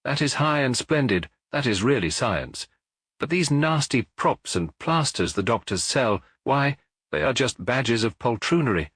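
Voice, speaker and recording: A British man voicing a haughty, fiery young modern woman in an audiobook, lofty, brisk and emphatic. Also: mid-range voice, big pitch swings, a bit bright, husky, no echo, voice pushed up, heavily acted. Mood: lofty, defiant. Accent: British English.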